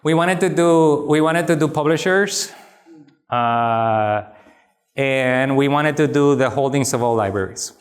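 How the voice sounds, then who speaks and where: Guy, answering crowd questions after a talk, lively and emphatic, jokey asides, ordinary pitch. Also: measured pace. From Dominican Republic. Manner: animated and emphatic, wry asides, frequent restarts. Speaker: guest speaker answering audience questions. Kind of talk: lecture Q&A, conversational.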